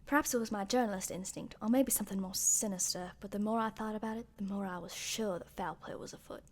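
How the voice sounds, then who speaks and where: A woman playing a wry, suspicious young journalist who narrates an amateur radio mystery in a deliberate, dry way. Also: medium pitch, natural voice. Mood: wry, brooding. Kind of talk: scripted first-person mystery narration. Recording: faintly noisy home recording.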